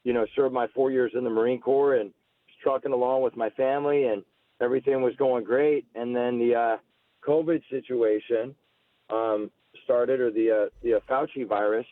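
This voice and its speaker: A man's voice: mid-range voice, fairly even intonation, normal speed, no room sound. An American man in his forties talking casually as a radio interview guest, telling stories about himself. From United States, Alabama-born, grew up near Seattle. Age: 40s. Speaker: guest, political candidate. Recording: muffled lo-fi call-in radio line.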